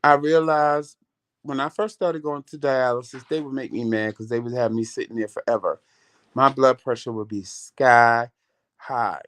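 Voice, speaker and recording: A man's voice: medium pitch, moderate pace, smooth. Light-voiced man telling stories casually. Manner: animated, swears freely, greets chat viewers by name. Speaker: vlog host. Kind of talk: casual storytelling chat.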